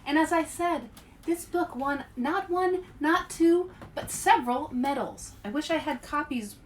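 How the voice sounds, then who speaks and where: High-pitched woman, children's read-aloud host. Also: unhurried. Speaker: host and author reading picture books aloud. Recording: distant mic with some background noise.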